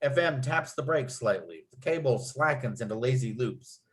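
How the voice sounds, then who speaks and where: A male narrator with a medium-pitched voice reads out screenplay action lines. He delivers scene headings and descriptions as part of a script table read. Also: expressive, normal speed. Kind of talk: reading screenplay stage directions at a table read.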